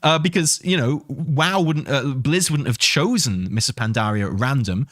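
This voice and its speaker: Animated man chatting casually about gaming. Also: medium pitch, normal speed, dry recording, clean studio sound. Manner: rambling, enthusiastic, sweary. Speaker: gaming stream host. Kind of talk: casual livestream chat and commentary on game news.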